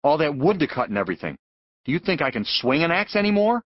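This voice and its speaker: A man's voice: fairly high pitch, sing-song, fast talker, no room sound, muffled recording. An actor playing a troubled, irritable father in a full-cast audiobook, curt and brooding. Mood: discouraged.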